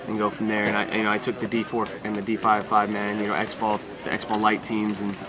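A man's voice: lowish voice, monotone, fast, a crowd in the background. A man in his thirties, a paintball coach, giving a casual, informal interview outdoors. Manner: informal, peppered with "you know". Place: outdoors by a fence and parking lot. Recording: noisy outdoor recording with traffic.

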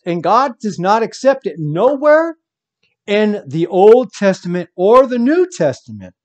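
A man preaching from home, reading scripture aloud and commenting on it with emphasis. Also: sits high.

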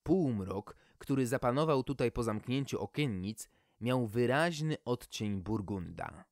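A man's voice: medium pitch, animated, a little slow, a little mellow, no room sound, clean studio sound. A man reading a scripted fairy tale as a storyteller, with a wry delivery.

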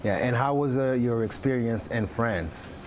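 A middle-aged man hosts a TV interview show. He chats casually in a studio on a lapel mic, at an ordinary pitch for a man. A little background noise sits behind his voice. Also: normal speed. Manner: speaks English with occasional French words. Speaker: TV show host. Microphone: lapel microphone.

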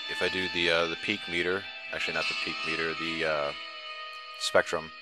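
Male screencast reviewer, bass-register voice, informal and enthusiastic demo delivery over prominent background music. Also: little pitch movement, a little fast. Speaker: software reviewer. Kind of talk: casual screencast demo narration. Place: indoors, voice-over on a screen recording. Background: music playing behind the voice.

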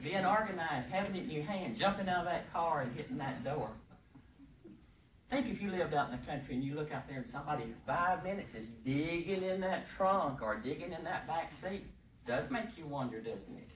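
A middle-aged woman at a podium, talking loud and emphatic in a homespun way. The sound carries across an echoey room from a mic some distance away. A faint hiss sits under it. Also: low voice, lively intonation, a little fast. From Texas. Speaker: presenter at a workshop. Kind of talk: informal training talk. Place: conference room. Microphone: podium microphone, heard from across the room.

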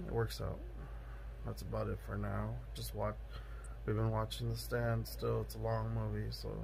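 Raspy, gravelly slow-talking young man. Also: fairly low pitch, a little hiss or hum, low-quality recording. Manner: slow, subdued, many fillers. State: hoarse from illness. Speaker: vlogger. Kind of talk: casual video diary. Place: bedroom indoors. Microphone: phone camera close to face.

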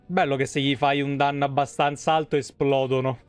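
A man's voice: mid-range voice, level tone, brisk, clean tone, soft background music. A man, giving casual live game commentary full of slang and swearing. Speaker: game streamer. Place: indoor room at home, streaming on webcam.

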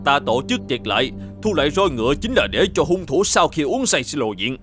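A shrewd, stern governor from an animated folk tale. He lays down judgment with formal, commanding authority and an animated, varied delivery, over background music. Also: fairly high pitch, a little fast. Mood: stern, accusing. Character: stern, shrewd male governor judging a murder case. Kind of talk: cartoon folk-tale dubbing.

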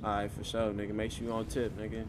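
A man's voice: on the low side, completely flat delivery, fast talker. A man in casual, slang-heavy game roleplay chat, swearing freely in brash street talk. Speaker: game streamer playing a gang member in roleplay.

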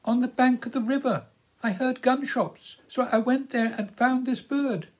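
A man's voice: very high-pitched, a little slow, voice pushed up. An older English man voicing a shy, earnest young village girl in a storybook reading. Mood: shy, pleading.

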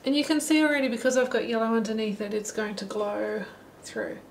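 A middle-aged woman narrating a watercolour lesson while she paints, thinking aloud in a relaxed way. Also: a little high, fairly quick, a little hiss or hum. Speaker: watercolour teacher. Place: holiday apartment, at a table indoors. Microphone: camera mic above the table.

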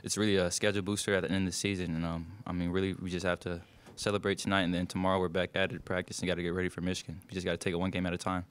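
A man's voice: low voice, little pitch movement, smooth. A young man, a college basketball player answering questions at a postgame press conference into a table mic, loose and full of fillers. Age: young adult. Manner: loose, filler-heavy, speaks in quick bursts. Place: press room in front of a sponsor backdrop.

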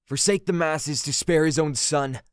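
A man's voice: a little high, moderate pace, clean tone, dry recording, studio quality, lightly acted. A man playing a sullen, resentful teenage prince in an audio drama. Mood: bitter. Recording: music under the voice.

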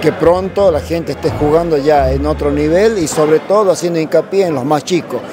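A man's voice: a little high, moderate pace. A man in his fifties with an Argentine accent, a coach giving casual interview answers. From La Rioja, northern Argentina. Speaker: padel coach. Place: padel club by the courts. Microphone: handheld interviewer microphone. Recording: noisy crowd around the club.

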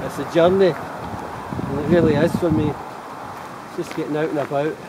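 A man's voice: on the high side, fairly even intonation, a little slow. A middle-aged Scottish man chatting casually to a handheld camera as he hikes outdoors. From Scotland. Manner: chatty, rambling talk to camera while walking. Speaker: vlogger. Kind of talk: casual hiking vlog. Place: outdoors on roadsides and forest paths. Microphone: camera held at arm's length. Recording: loud wind and passing traffic.

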